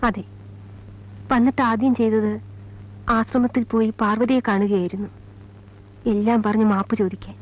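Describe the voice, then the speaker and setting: An actress playing a sorrowful mother and former college teacher, telling her past gravely in halting, measured phrases in a film drama. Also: a little high, fairly even intonation, close to an everyday voice. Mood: grave, resigned. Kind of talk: Malayalam film drama, slow confessional narration. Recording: dull old film soundtrack, music behind. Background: film score music.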